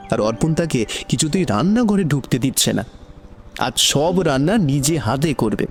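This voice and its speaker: Higher-pitched male story narrator. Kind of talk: scripted emotional romantic narration.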